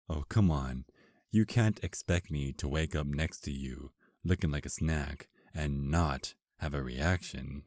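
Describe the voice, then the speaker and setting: A man's voice: a bass voice, expressive, slow pace, pauses often, a little mellow, no echo, clean studio sound, exaggerated voice. A man playing an affectionate, teasing vampire husband in an intimate ASMR romance roleplay, murmuring tenderly and flirtatiously. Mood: teasing, amused.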